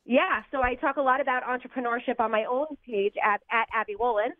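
A woman's voice: on the high side, fairly quick, no echo. A woman calling in as a guest on a radio show, friendly and hesitant with many fillers, heard over a phone line. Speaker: guest, business owner.

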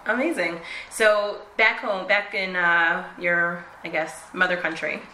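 A woman interviewer with a bright, sharp voice, reacting eagerly and asking her guest questions. Her voice rings in an echoey room with light ambient noise behind it. She keeps the conversation lively and welcoming. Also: fairly low pitch. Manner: enthusiastic, quick reactions of wow and amazing. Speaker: interviewer, show host. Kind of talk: radio interview.

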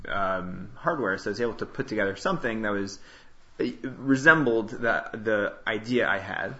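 A man from Queens explains himself casually as a founder being interviewed. His voice is fairly low, bright and full of fry. He often stops and restarts his explanations. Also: moderate pace, lo-fi sound. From Queens, New York, United States. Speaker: interview guest, startup founder and CEO. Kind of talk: casual conversational interview answers.

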